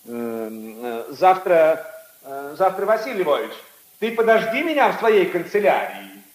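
An actor playing a haughty, indignant aristocratic prosecutor in a pompous, emphatic 1950s radio drama style. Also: male voice, fairly high pitch, big pitch swings, measured pace, rough recording. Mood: imperious. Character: haughty, indignant aristocratic assistant prosecutor, brother-in-law of a prince. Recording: 1950s archive radio recording.

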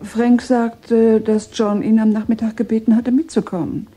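Actress as husky, gracious widow, very mellow. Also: female voice, a little high, level tone, moderate pace, soft background music, lightly acted. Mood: reflective, insistent. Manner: hesitant, reflective, polite. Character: gracious, composed widowed mother. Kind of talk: German radio drama acting.

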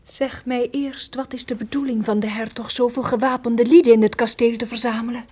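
A woman's voice: a little high, steady tone, fairly quick, dark tone, husky. An actress playing a proud, sorrowful captive young noblewoman in a formal, theatrical radio play, solemn and declamatory. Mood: earnest, guarded.

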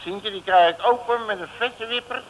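An actor playing a boastful, muttering, self-important detective in a 1960s Dutch radio serial, with theatrical exclamations. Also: male voice, sits high, normal speed, lightly acted. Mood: smug, excited. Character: boastful, blustering male detective with a nose for money. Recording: noisy old radio recording.

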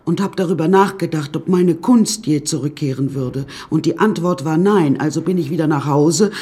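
A woman's voice: low-pitched, moderate pace, warm tone, natural voice. An actress playing a wry woman who recounts her death-strewn childhood in a radio play, dry and confiding. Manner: dry, darkly comic, confiding.

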